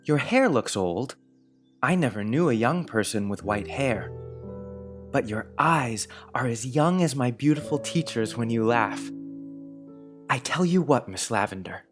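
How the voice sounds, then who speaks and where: A man voicing an earnest, dreamy boy of about eleven in a storybook reading, speaking gravely and confidingly. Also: mid-range voice, animated, unhurried, music in the background, natural voice. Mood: grave, curious. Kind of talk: dramatized audiobook character reading.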